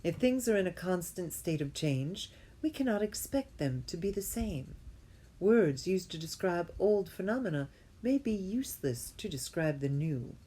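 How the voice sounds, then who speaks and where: A woman reading an academic text aloud as an amateur, haltingly, often going back to repeat a phrase and correct herself. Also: fairly low pitch, expressive, warm tone, slight background noise. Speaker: reader.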